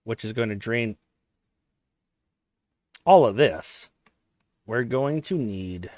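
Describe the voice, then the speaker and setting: A man thinking aloud while he plays a strategy game, his voice a little hoarse, often correcting himself as he goes. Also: medium pitch, lively intonation, slow pace, a little mellow, dry recording. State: voice slightly hoarse. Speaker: let's play host. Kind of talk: casual gameplay commentary.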